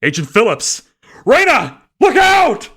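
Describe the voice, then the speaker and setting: A man voicing a desperate male agent who shouts and sobs in grief. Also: very high voice, huge pitch swings, slow, pitched above the performer's own voice, exaggerated voice. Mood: frantic shouting. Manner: frantic shouting, breaking into sobs.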